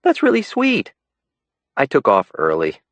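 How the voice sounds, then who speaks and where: A man's voice: medium pitch, wildly swooping pitch, a little slow, a bit bright, no echo, voice pushed up, exaggerated voice. A man voicing a young woman, a teasing, affectionate schoolteacher. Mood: playful. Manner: light, teasing. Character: young woman, playful teacher girlfriend.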